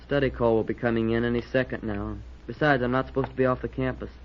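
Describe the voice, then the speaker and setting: Man playing loyal schoolboy pal, mid-pitched, boyish, prodding, talkative, vintage radio serial, faintly noisy muffled sound. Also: fairly even intonation, fast, close to an everyday voice. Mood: frank, supportive. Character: loyal, talkative schoolboy chum, frank and concerned. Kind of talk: 1940s radio acting. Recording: noisy, dull old radio recording.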